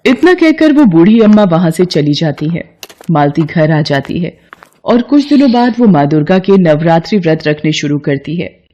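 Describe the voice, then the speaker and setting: A woman's voice: on the low side, warm tone, highs cut off. A woman narrating a scripted moral tale as a storyteller.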